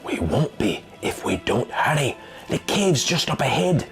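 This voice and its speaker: A man's voice: medium pitch, big pitch swings, a little slow, creaky, faint music behind. A man voicing a wary, commanding griffin guide in a fantasy story, urgent and cautioning. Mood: tense.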